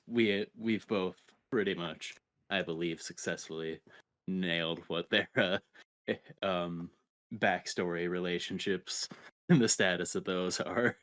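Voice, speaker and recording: A man with a low-pitched voice talks as a podcast co-host reviewing games. His chat is casual and somewhat hesitant, coming out in halting pieces. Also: a bit bright.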